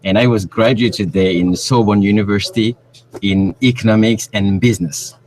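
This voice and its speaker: A man's voice: lowish voice, steady tone, normal speed, a little mellow, poor audio. A man from Cameroon, a guest researcher speaking on a remote call, who often stops to search for words. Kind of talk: interview on a call.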